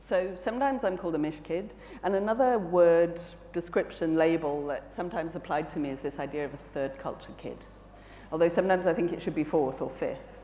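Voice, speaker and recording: A woman's voice: fairly low pitch, warm tone, slight background noise. A jet-lagged woman with a mixed British and South African accent, giving an earnest, reflective conference talk full of fillers and restarts. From Malawi. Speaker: keynote speaker. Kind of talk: conference presentation. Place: large conference hall on stage. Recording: echoey hall, faint ambience.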